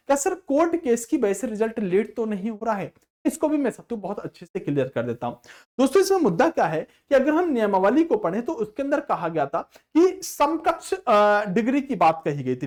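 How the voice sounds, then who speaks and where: Animated presenter explaining exam news to students. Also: mid-range voice. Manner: emphatic, repeatedly addresses listeners as friends, poses questions then answers them. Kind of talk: explanatory update talk addressed to students.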